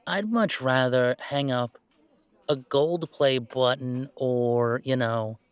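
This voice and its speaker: A man playing a laid-back young werewolf gamer in an intimate audio roleplay, chatting in a wry, halting, casual way. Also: medium pitch, smooth, no echo, lightly acted. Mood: easygoing, wry. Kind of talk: intimate roleplay audio, naturalistic one-sided conversation.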